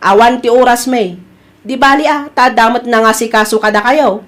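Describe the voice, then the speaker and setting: Defensive, dismissive wife, played by a woman, high voice, Ilocano accent, domestic comedy drama. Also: moderate pace, natural voice. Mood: defensive, irritated.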